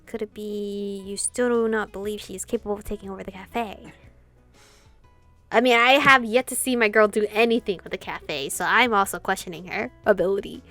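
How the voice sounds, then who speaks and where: A woman streamer reading game dialogue aloud and reacting with casual, expressive commentary. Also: medium pitch, a little fast. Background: game music.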